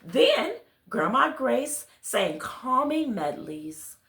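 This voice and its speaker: A woman reading a children's picture book aloud, expressive, speaking directly to her young listeners. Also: a little high, extremely slow, reverberant, slight background noise. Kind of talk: children's read-along with friendly asides to listeners.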